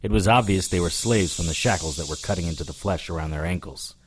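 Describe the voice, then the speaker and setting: A man's voice: low-pitched, quick pace, a little hiss or hum, lightly acted. A man playing a wry, hard-boiled hero, narrating a scripted audio drama in the first person with dry sarcasm. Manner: dry, sardonic. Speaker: narrator and lead character.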